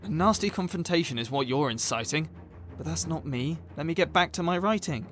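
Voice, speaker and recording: An actor playing a troubled author who stands up for himself, speaking earnest, declamatory rhyming lines in an audio drama. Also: male voice, a little high, expressive, fairly quick, fairly bright tone, soft background music. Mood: uneasy, reproving. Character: troubled male author standing up to his characters.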